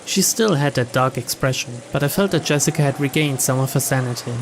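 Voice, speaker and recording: A man's voice: medium pitch, fairly quick. A man giving a dramatic scripted narration of a visual novel as a let's play reader. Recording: clear background ambience noise.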